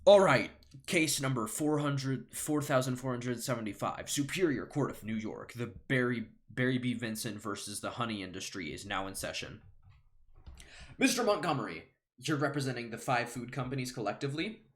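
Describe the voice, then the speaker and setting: A man voicing a brisk, authoritative, formal courtroom judge who declaims, in an amateur solo script reading. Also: medium pitch, expressive, voice pushed up, close to an everyday voice. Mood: formal, commanding.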